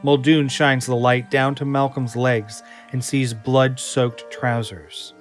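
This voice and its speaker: Male audio drama narrator: measured, slow, suspenseful, medium register, clear musical score behind. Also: medium pitch, level tone, faint music behind. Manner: deliberate, suspenseful.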